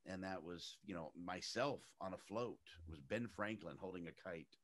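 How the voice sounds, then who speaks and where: A middle-aged man with a very low, deep voice, raised in Arizona. He chats casually as an interview guest, telling stories with animation and leaping up in pitch whenever he acts out what other people said. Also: normal speed, a bit bright. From United States, raised in Arizona.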